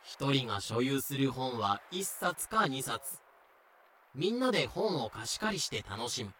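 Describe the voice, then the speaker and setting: A man's voice: medium pitch, big pitch swings, measured pace, a bit bright, slight background noise. A man reading scripted explanatory narration in a wry, matter-of-fact way. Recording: music behind the voice.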